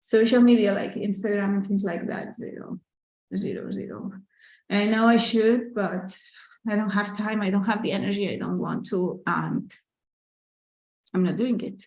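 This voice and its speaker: A woman's voice: mid-range voice, moderate pace, darkish tone, echoey room, rough recording. A woman with a Catalan accent, a native Catalan speaker, hosting a casual live Q&A, chatty and candid, with lots of "like" and "you know" and small laughs as she reads out viewer questions. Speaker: artist and business owner hosting a live Q&A.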